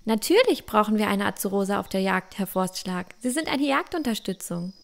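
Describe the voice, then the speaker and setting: A woman's voice: mid-range voice, big pitch swings, a little fast, vocal fry. A woman playing a clever, confident, cheeky village girl with a bookish manner in a fantasy audio drama. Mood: smug, explaining. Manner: self-assured, bookish.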